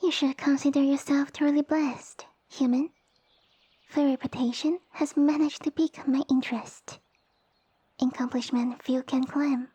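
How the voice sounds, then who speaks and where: A woman voicing a small, haughty young snake-girl noble in an ASMR roleplay, speaking softly with pompous, formal condescension. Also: high voice, no room sound. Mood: proud, condescending. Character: small haughty young lamia noblewoman, sassy and easily offended. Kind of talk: ASMR audio roleplay acting. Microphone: close dry microphone.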